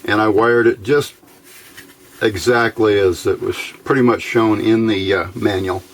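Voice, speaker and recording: A man's voice: on the low side, fairly even intonation, a little slow. An American man giving an informal technical tutorial as a hobbyist host, plainspoken and a little hesitant.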